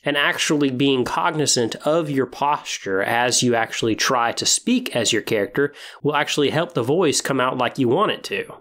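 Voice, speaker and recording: A man's voice: mid-range voice, expressive, moderate pace, hardly any pauses, a bit bright. A man hosting a podcast, speaking directly to listeners in an encouraging, semi-scripted way. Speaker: podcast host. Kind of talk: semi-scripted podcast talk on tabletop roleplaying.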